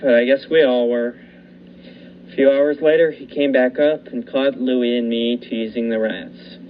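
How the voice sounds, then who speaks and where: A man's voice: medium pitch, measured pace. Young man narrating a radio thriller. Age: young adult. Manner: steady first-person storytelling with dramatic build. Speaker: narrator and lead actor. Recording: dull lo-fi recording, faint hiss.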